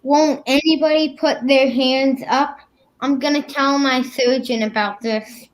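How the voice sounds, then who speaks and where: A woman playing a suspicious masked stranger in an old-time radio comedy, insistent and gruff. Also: high-pitched, steady tone, measured pace, a little hiss or hum, poor audio. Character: suspicious costumed man in a mask. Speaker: radio play performer.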